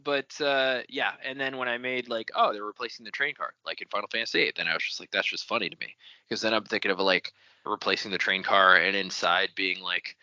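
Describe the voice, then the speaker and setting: A man's voice: lowish voice, fairly even intonation, fairly quick, pushed and bright, no echo, lo-fi sound. A man co-hosting a podcast, chatting casually in an offhand, understated way. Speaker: podcast co-host. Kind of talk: casual book discussion. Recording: dry, slightly band-limited sound.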